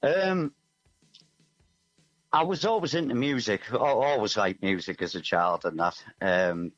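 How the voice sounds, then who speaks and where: Male musician guest, lowish voice, casual and self-effacing, slightly muffled lo-fi line. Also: measured pace, fairly bright tone, faint music behind. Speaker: musician guest, singer-songwriter. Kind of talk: casual interview chat.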